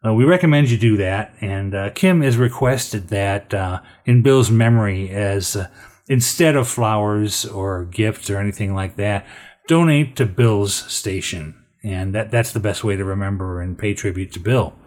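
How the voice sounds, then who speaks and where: A man's voice: lowish voice, normal speed, a little mellow. A man hosting a radio show in a casual, conversational sign-off style with a folksy, hesitant manner.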